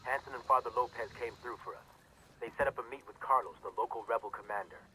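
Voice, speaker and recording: An actor playing a brisk intelligence handler, giving businesslike, instructive briefings in a military video game. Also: male voice, medium pitch, pushed and bright, a little hiss or hum, lightly acted, low-quality recording. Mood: brisk, focused.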